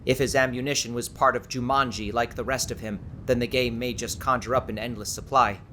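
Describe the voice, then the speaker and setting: Forceful, bright-voiced male audiobook narrator. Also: mid-range voice, steady tone, fairly quick, slight background noise. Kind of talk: dramatic scripted narration.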